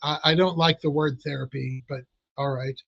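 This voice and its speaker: A man with a fairly high voice explains hypnosis in a relaxed interview, often restarting his sentences. His voice sounds dry and a bit dull, like remote audio. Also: normal speed, vocal fry, slightly rough, no echo. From Italian American family. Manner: explanatory, many restarts and fillers. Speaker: clinical hypnotist guest. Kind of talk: casual interview talk.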